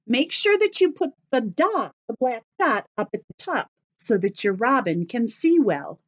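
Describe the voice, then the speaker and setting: A woman's voice: fairly high pitch, very animated, measured pace, lo-fi sound. A woman teacher talking young children through things in simple steps, with encouraging questions. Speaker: children's teacher leading craft and story time. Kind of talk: instructional talk to young children.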